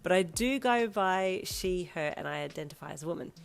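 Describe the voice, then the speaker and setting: A woman with a deeper voice, chatty and tomboyish, reading her own audio fanfiction. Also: lively intonation, fairly quick, vocal fry. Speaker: fanfiction reader.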